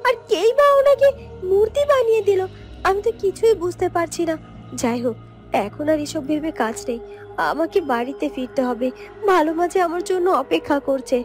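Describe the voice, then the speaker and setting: Very high-voiced earnest village girl played by a woman. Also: animated, a little fast, a little mellow, soft background music. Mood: puzzled, musing. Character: wronged gentle village girl, bewildered and earnest. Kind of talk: Bengali fairytale cartoon voice acting.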